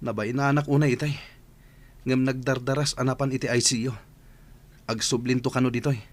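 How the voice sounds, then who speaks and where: A man's voice: medium pitch, fairly even intonation, fairly quick, lightly acted. A man acting a flustered village son-in-law in an Ilocano radio drama, urgent in manner, with an Ilocano accent. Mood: disbelieving. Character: flustered village man fretting over his mother-in-law.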